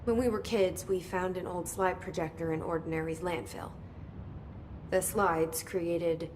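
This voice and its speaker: A woman's voice: on the low side, noisy background. An actress playing a terse, businesslike young woman in charge of an agency in a video game. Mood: confiding.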